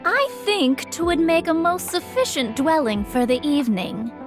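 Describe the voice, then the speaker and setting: A woman's voice: sits high, sing-song, measured pace, music in the background. A woman playing a stern, vigilant young swordswoman in a video game, speaking in a formal, archaic manner. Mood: formal, reserved. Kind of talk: voiced game story dialogue.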